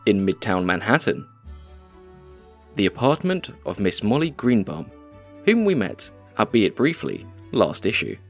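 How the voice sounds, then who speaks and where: A man's voice: lowish voice, very animated, unhurried, soft background music. A man playing a wry, dramatic narrator in a comic dub, giving scripted narration. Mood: grand, wry.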